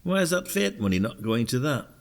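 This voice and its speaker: A dry old retired detective, lively in intonation, heard a little off-mic in a village radio drama acted by a man. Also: mid-range voice, brisk, creaky, far from the mic. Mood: challenging. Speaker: actor. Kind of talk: scripted audio drama dialogue.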